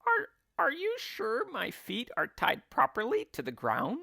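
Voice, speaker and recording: A man's voice: very high voice, wildly swooping pitch, a little slow, creaky, sharp tone, no echo, clean studio sound, voice pushed up, full character voice. A man voicing an ugly, silly old wife in a storybook, with a dramatic, exclaiming delivery. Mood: anxious. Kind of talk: storybook character voice.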